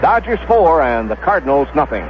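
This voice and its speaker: A man's voice: a little high, big pitch swings, brisk, muffled recording, music in the background. A man with an American accent calling baseball play-by-play on a 1970s radio broadcast. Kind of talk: live radio sports commentary. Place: stadium broadcast booth.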